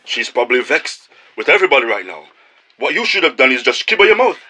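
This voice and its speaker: A man plays a scolding minister in a radio comedy. He talks at a very rapid pace in a bright, forceful tone. His manner is insistent and blaming. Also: mid-range voice, sing-song. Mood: exasperated. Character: scolding, exasperated male school minister. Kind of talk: radio comedy drama acting.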